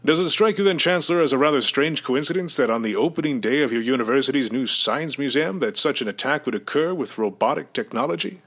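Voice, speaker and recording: A man playing a noble millionaire inventor hero with a measured, formal, commanding manner in an old-time radio style. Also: mid-range voice, lively intonation, a bit bright. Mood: concerned, probing.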